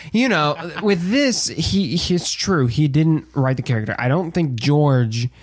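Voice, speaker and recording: A man's voice: on the high side, expressive, measured pace, no echo, studio quality. A man co-hosting a casual podcast chat, thinking aloud as he weighs his opinion. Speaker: podcast co-host.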